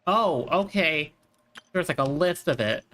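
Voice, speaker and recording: A woman's voice: sits low, lively intonation, measured pace, a bit bright, slight background noise. A woman live-streaming a game, giving casual commentary and musing aloud. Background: game music.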